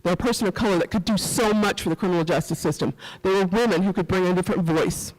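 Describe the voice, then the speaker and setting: A middle-aged woman with a rural Missouri accent gives an earnest, halting personal talk as a speaker at a summit, repeating phrases for emphasis. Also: on the low side, a little mellow. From rural Missouri, USA. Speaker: panel speaker, former police officer and professor. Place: event hall at a community center. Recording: echoey hall, long reverb.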